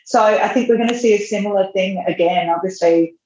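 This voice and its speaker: A woman's voice: medium pitch, a little fast, dark tone. Chatty woman on a roomy line. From Australia. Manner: chatty, laughs often, many restarts. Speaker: magazine editor, guest. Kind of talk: casual podcast interview. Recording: roomy, dull remote audio.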